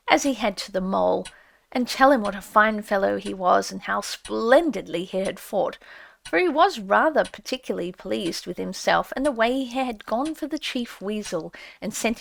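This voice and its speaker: A woman narrating a classic children's story as an audiobook, reading in a lively, theatrical way. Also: mid-range voice, vocal fry.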